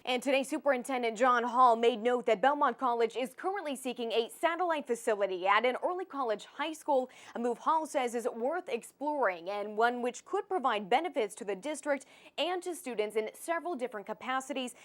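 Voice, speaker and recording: A woman's voice: on the high side, animated, brisk. A woman with an American accent reading scripted television news narration as a reporter.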